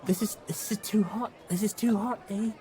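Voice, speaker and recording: A man plays an anxious character in a tabletop role-playing game. His voice is mellow and dark, and he repeats himself as he improvises. Some noise is audible behind him. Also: sits high, steady tone, moderate pace, a faint crowd.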